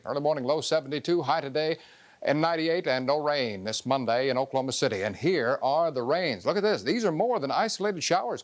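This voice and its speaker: An American man giving an ad-libbed television weather forecast in a conversational, explanatory way. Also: a little high.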